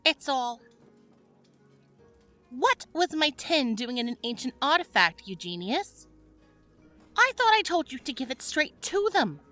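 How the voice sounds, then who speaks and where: A stern, bossy wife of the night creatures in an audio drama. Her voice is bright and forceful, brisk and commanding yet gracious, with faint music behind. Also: high voice, sing-song, full character voice. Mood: scolding.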